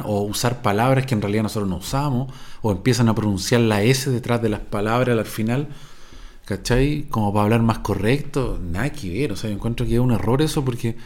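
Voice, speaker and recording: A man's voice: medium pitch, a little mellow. A middle-aged man from Viña del Mar with a Chilean Spanish accent, hosting his podcast in an improvised, rambling, digressive monologue full of slang and swearing. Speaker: podcast host.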